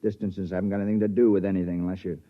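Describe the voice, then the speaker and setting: Man acting as fretful grumbling male space crewman, lowish voice, dull 50s radio drama sound. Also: little pitch movement, very fast, close to an everyday voice. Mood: gloomy, fretful. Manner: downbeat, grumbling. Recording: dull vintage radio recording.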